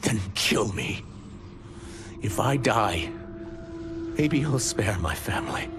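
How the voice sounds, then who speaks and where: An actor playing a sorrowful, fearful enslaved reptilian shapeshifter in a video game, earnest and anguished. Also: male voice, lowish voice, lively intonation, takes it slowly, over music. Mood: pleading. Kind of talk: dramatic video game voice acting.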